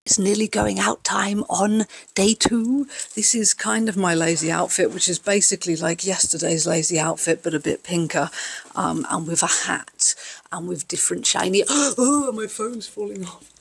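A woman's voice: fairly low pitch, moderate pace, creaky. A young woman vlogging from a hotel room, rambling and giggly, hungover and getting a sore throat. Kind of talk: casual vlog chat.

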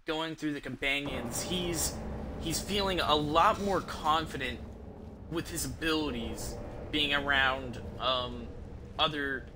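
A young man commentating casually on a video game, with a slightly high voice and a slow, halting pace. He rambles and swears freely. Game ambience runs clearly behind him as he talks from a room at home. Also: background noise. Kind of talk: casual live gameplay commentary.

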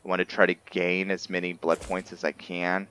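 A man's voice: sits low, flat delivery, normal speed, a bit bright, clear, resonant tone. A man giving casual roleplay commentary on a video game, musing and thinking aloud as he plays. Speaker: gaming video host and player. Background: game music.

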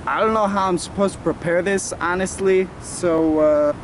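A young adult man hosting a mock nature show to camera in a halting, deadpan, mock-reverent way. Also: high voice. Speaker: host of a comedy cooking show. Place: outdoors in a suburban backyard. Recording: noisy outdoor camera audio, background hiss.

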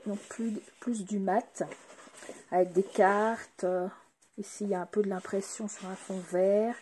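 A woman presenting craft products in a casual, hesitant way, pausing often with fillers while showing items to the camera. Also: medium pitch, mellow, slight background noise.

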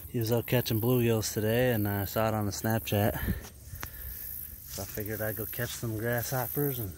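A man's voice: on the low side, steady tone, moderate pace, darkish tone, a little hiss or hum. A man in his thirties casually narrating a fishing trip, folksy and chatty, out on a lake in a kayak. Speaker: fishing vlogger. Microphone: action camera.

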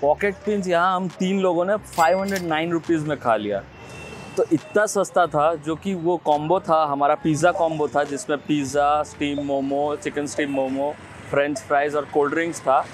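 A man's voice: a little high, steady tone, moderate pace, a little hiss or hum. Man food vlogging with a lapel mic. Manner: chatty, mixes in English phrases. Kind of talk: casual food review to camera. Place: small restaurant indoors, later busy street outside.

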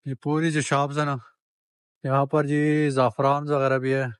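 A man's voice: medium pitch, flat delivery, normal speed, clear, resonant tone, no room sound, clean studio sound. Man, travel vlogger, chatty, haggling over prices, outdoor market, handheld camera. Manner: chatty, bargains with shopkeepers, addresses viewers as brothers. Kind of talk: walking-tour vlog narration.

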